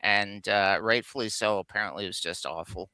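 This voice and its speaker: Guy with a sharp bright voice, super dry mic, chatting geek trivia as a cohost. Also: lowish voice, steady tone, fairly quick, clean tone, no room sound, low-quality recording. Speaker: co-host of a live geek countdown show. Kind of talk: casual chat, enthusiastic explaining of film trivia.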